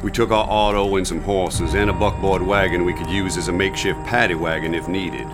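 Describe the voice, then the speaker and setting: An actor playing a grim, commanding police inspector who recounts a raid in a radio drama. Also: male voice, sits low, fairly even intonation, brisk, natural voice. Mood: grave, haunted. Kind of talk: radio drama acting with narration. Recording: music under the voice.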